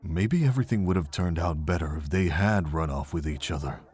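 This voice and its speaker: A man playing a gentle, regretful middle-aged monk and mentor, speaking in a measured, reflective way in a fan visual novel drama. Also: sits low, close to an everyday voice.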